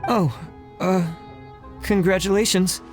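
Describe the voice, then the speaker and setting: A man playing a meek, agreeable candidate in a video game, with a hesitant, halting manner. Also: high-pitched, steady tone, soft background music. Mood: awkward.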